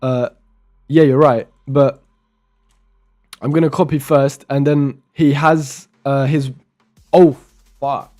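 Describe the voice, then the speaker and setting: A man's voice: mid-range voice, fairly even intonation, takes it slowly, long pauses, darkish tone. A young man from Romania, casually commenting while live coding and muttering code aloud as he types, with chatty asides. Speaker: programming streamer.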